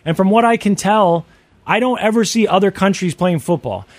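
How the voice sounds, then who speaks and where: A man's voice: sits high, normal speed. A man hosting a talk radio show, chatting casually and upbeat with his co-hosts.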